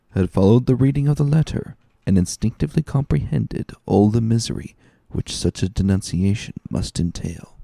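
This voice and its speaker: Male audiobook narrator, dark warm tone, lively intonation, dry recording. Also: fairly low pitch, creaky, no echo.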